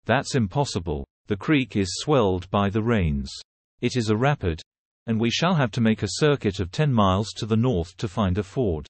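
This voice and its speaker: Brief: male reader voicing a low-voiced, no-nonsense conductor, dry close sound. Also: animated, normal speed, no echo. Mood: firm. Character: stern male train conductor, firm and matter-of-fact.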